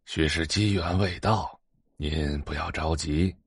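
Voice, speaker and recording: A very deep-voiced old sage acted by a man in an audio drama. He is a genial Taoist elder who speaks with measured courtesy and deference. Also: husky, no echo, studio quality, heavily acted. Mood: measured, probing. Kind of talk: Chinese audio drama dialogue.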